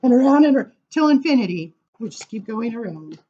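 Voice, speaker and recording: A woman reading poems aloud to children as a storytime librarian, with animated, sing-song emphasis and casual asides. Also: a little high, fairly quick, dull audio.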